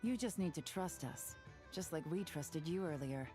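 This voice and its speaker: A confident agent with a low, calm voice, never in a hurry. Soft game music sits underneath. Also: female voice, normal speed. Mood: cool. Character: cool, confident female agent.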